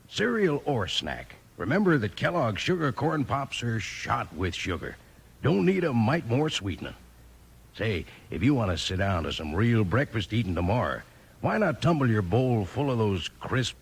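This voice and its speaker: A man's voice: mid-range voice, vocal fry, husky. A man delivering a 1950s radio commercial in folksy cowboy sales patter. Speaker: commercial announcer. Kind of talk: 1950s radio advertisement pitch.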